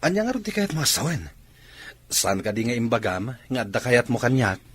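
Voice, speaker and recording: A Filipino actor playing a lovestruck, questioning young suitor in a radio soap opera. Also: male voice, mid-range voice, very animated, fairly quick, fairly bright tone, slightly rough. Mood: questioning, earnest.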